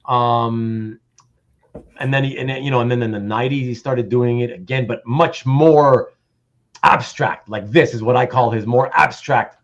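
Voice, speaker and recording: A man's voice: mid-range voice, fairly even intonation, moderate pace, lo-fi sound. A man hosting a casual livestream about comic art, reading out chat comments and rambling with repeated words and self-interruptions.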